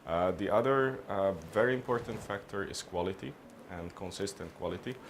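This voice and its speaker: A man from Hungary, answering business questions in a measured, halting way, often restarting his phrases. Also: low-pitched, a little hiss or hum. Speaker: interviewee, business consultant. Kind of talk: on-camera business interview.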